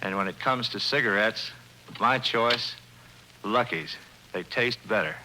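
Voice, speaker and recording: A man's voice: lowish voice, a little slow, bright, forceful tone, a little hiss or hum, poor audio. A man giving a stiff, scripted testimonial in a 1950s radio advertisement.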